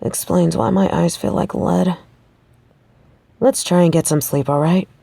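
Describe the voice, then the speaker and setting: A woman's voice: lowish voice, unhurried, gravelly, warm tone, no room sound. A woman playing a determined, pragmatic researcher of the supernatural in an audio roleplay, speaking in a conversational, matter-of-fact way. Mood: tired, caring. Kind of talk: audio roleplay acting, addressing the listener.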